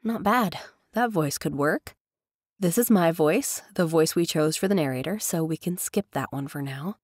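A woman giving a casual, chatty software tutorial voice-over as host. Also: low-pitched, normal speed, husky, dry recording, clean studio sound. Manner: informal, chatty. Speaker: tutorial host, professional narrator.

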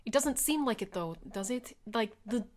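Female tabletop player, somewhat high-pitched, conversational in-character delivery. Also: expressive, normal speed, darkish tone.

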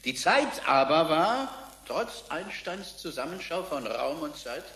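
A man's voice: a little high, very animated, measured pace, bright, forceful tone, full character voice. An actor playing an eminent male physicist giving a pompous, declamatory public address in a radio drama, in an echoing hall. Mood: solemn, declamatory. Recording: echoing hall, faint noise.

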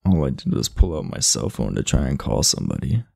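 Man playing a deep, gravelly teenage delinquent boy. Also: big pitch swings, a little fast, a little mellow, no echo, clean studio sound, exaggerated voice. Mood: sardonic, guarded. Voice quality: gravelly, low. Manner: blunt, sardonic, guarded. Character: aloof, irritable, sleepy teenage boy, class delinquent. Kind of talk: ASMR roleplay, speaking to the listener.

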